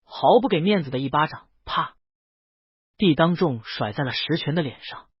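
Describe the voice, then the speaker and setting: A man narrates fiction dramatically, his fairly high voice swinging widely in pitch. The sound is very dry and slightly dull, and the voice has a husky edge. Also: animated, moderate pace, dry recording. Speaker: audiobook narrator. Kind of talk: dramatic scripted story narration.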